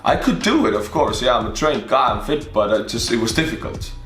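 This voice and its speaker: A young Estonian-accented man talking casually and off the cuff, breaking off and restarting his sentences as he goes. His voice sits at an ordinary middle pitch. The room has a noticeable echo, with faint music underneath. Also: big pitch swings, fairly quick, fairly bright tone. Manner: chatty, restarts often, talks through personal stories. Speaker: YouTube reaction host. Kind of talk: casual reaction commentary.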